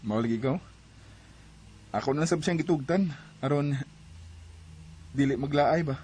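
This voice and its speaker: An actor playing a young live-in boyfriend in a radio drama, speaking with a Cebuano Filipino accent. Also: male voice, on the high side, a little slow, mellow, slight background noise, natural voice. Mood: matter-of-fact. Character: young live-in boyfriend, easygoing turning suspicious.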